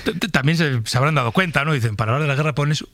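A man's voice: a little high, racing, a bit bright, no echo. Male Spanish radio news host. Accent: Spain. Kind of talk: news presenting with casual studio banter. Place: radio studio.

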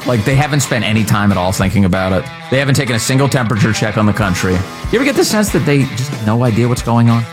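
A man's voice: medium pitch, big pitch swings, fast talker, music in the background. A man doing opinionated commentary in a sarcastic style, firing off rhetorical questions. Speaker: podcast host in a promo.

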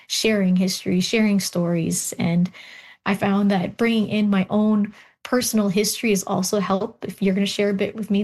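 A young woman, a doctoral researcher, talks as an interview guest about her work and her family. Her storytelling is animated, full of laughs and restarted thoughts. Her pitch sits in the middle.

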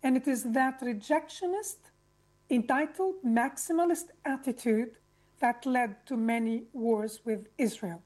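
High-voiced, articulate woman from Lebanon. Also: measured pace, darkish tone. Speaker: interview guest, activist speaker. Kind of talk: personal account in an interview.

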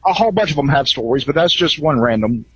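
A man's voice: a little high, animated, fast talker, a bit bright, husky, no room sound. A man calling in to a talk radio show, talking in a rambling, hesitant way with many fillers, heard over a dull phone line. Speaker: caller.

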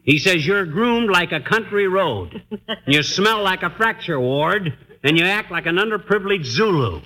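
An actor playing a blustering, wisecracking American husband in old-time radio comedy, with a teasing, folksy, slangy manner. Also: male voice, high-pitched, animated, moderate pace, pushed and bright. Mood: needling. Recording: noisy vintage radio broadcast recording.

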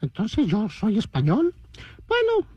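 A man's voice: sits high, wildly swooping pitch, takes it slowly, dark tone, breathy edge, no room sound, dull audio. A man from Tijuana with a Mexican accent, rambling playfully and boastfully as a caller leaving a message.

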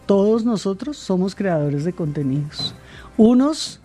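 A woman, answering interview questions in a measured way, with many pauses and fillers. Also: mid-range voice, lively intonation, warm tone, faint music behind. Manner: measured, hesitant with fillers and repeated words. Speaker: interviewed guest.

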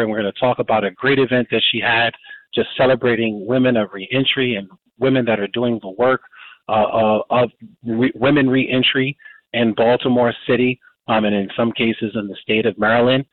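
A man's voice: medium pitch, steady tone, normal speed, no echo. Man hosting community radio over a phone-like line. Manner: rambling, frequent fillers and restarts. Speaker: radio show host. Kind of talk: casual community radio talk. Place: Baltimore, Maryland. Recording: dry, phone-like narrow band.

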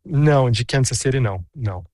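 A man's voice: mid-range voice, lively intonation, a little fast, a little mellow, dry recording, studio quality. A man in his thirties giving a chatty on-location report, telling stories with many asides. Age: 30s.

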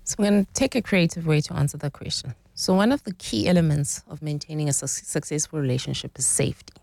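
A woman's voice: low-pitched, quick pace, gravelly, a little mellow, dry recording. A woman in her forties, explaining relationship dynamics as a guest in a conversational interview, often checking in with "right?". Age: 40s.

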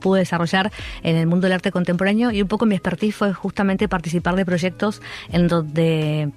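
An Argentine woman with a Rioplatense accent talks in a low voice, pausing often, restarting her sentences and leaning on fillers. A faint music bed sits under her. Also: steady tone, a little mellow. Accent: Rioplatense Spanish. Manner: hesitant, many fillers and restarts. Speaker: art curator guest. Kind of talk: casual interview conversation.

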